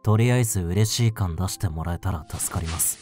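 Man acting a very deep, mellow, deadpan young man. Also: brisk, soft background music, close to an everyday voice. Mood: sheepish, kind. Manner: deadpan, understated. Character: awkward, low-key, dry young male office senior. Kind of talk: anime-style voice drama acting.

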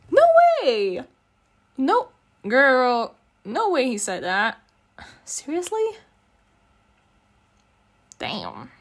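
A woman's voice: on the high side, big pitch swings, very slow, pauses often. A young non-native English-speaking woman in a casual, intimate girlfriend ASMR roleplay, talking in a slangy, teasing, coaxing way with giggles. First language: not English. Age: young adult.

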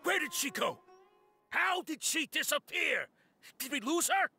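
An actor playing a stern male guard captain who barks orders and shouts. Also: very high-pitched, animated, a little slow, creaky, faint music behind, heavily acted. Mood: shouting alarmed.

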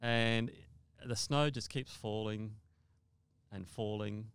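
A man's voice: lowish voice, steady tone, slow pace, a bit bright, clear, resonant tone, dry recording. An older man telling a first-person story conversationally as a podcast guest, often repeating and rephrasing his words.

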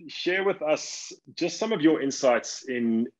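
A man's voice: on the high side, animated, measured pace. A man in his thirties from Africa, moderating a panel from a home office over a video call with upbeat follow-up questions. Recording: muffled video call audio.

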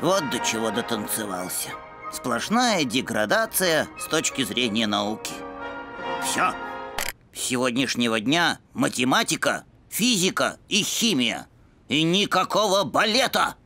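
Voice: mid-range voice, big pitch swings, measured pace, over music. A performer voicing a bookish, earnest, brainy boy puppy in a children's cartoon, with pompous, learned diction. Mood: resolute. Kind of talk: animated children's cartoon acting.

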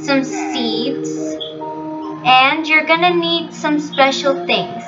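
Woman playing a high-voiced, slow-talking helpful witch. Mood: instructive. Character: helpful, mysterious female witch in a hut. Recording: muffled, distant mic under loud music.

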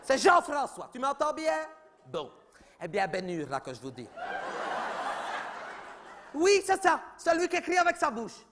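A man's voice: very high-pitched, wildly swooping pitch, a little slow, pauses often, very noisy, heavily acted. A man voicing a chatty, oblivious middle-aged mother, rambling and gossipy in a comedy sketch. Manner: rambling, gossipy, repeats "oui, oui", talks over others.

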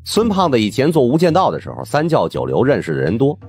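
A man narrating an audiobook in a colloquial, wry and expressive first-person storytelling style. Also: medium pitch, fast, breathy edge, soft background music.

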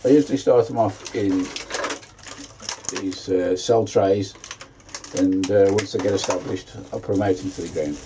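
A man's voice: low voice, moderate pace, background noise. A man, chatting casually as he shows his allotment work in a greenhouse. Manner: chatty, homely, plain-spoken. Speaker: allotment vlogger. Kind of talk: casual gardening vlog narration.

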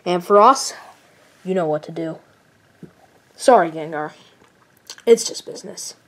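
A woman voicing stern male hunters who corner a ghost, speaking low and slow in a comedic skit. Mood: menacing.

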